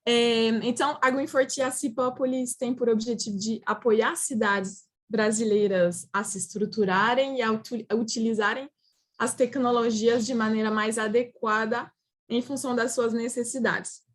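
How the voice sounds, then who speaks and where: A woman from France with a French accent giving a halting, semi-formal guest presentation in Portuguese as a second language, over a video call. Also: fairly high pitch, steady tone. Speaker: company manager presenting as guest speaker.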